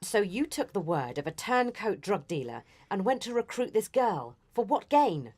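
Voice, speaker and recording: An actress playing a stern, sceptical senior intelligence boss with a British accent, formal and cutting, in a radio drama. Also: female voice, medium pitch, sing-song, normal speed, darkish tone, slight background noise, lightly acted.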